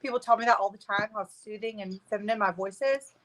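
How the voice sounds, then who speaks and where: Woman, mid-pitched, brash and emphatic casual talker on a video call, swears freely. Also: fast, a bit bright, rough recording. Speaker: panel guest. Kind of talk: casual group chat.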